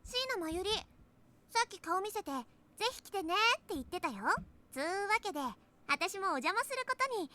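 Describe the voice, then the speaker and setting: A woman's voice: extremely high pitch, expressive, rapid-fire, pushed and bright, exaggerated voice. An actress playing a cheerful, casual eighteen-year-old girl in a Japanese visual novel. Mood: breezy, casual.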